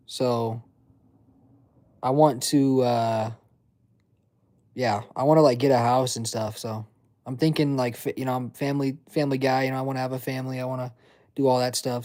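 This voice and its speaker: A man's voice: medium pitch, dark tone. Young man, laid-back stream chatter. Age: 20s. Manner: rambling, filler-heavy slang. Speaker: livestream host. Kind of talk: casual livestream chat reading comments.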